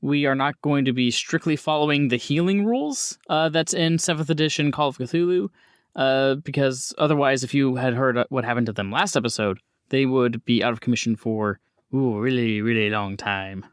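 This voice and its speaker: A man's voice: mid-range voice, moderate pace, clear, resonant tone, no echo, clean studio sound. A man hosting a tabletop role-playing game as game master, explaining rules and calling on players in a casual way.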